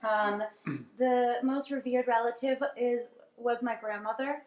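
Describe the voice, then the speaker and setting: A woman's voice: a little high, fairly even intonation, measured pace. New York woman, casual sharing, hesitant, laughing, roomy echo. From Staten Island, New York. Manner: laughing, hesitant, many ums. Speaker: workshop participant. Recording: echoey room.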